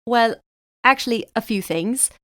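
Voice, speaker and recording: A woman's voice: fairly high pitch, a little slow, fairly bright tone, no room sound, studio quality. A woman playing a chatty, proudly local Dubliner with an Irish accent as the guest on an improvised comedy podcast. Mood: earnest, chatty.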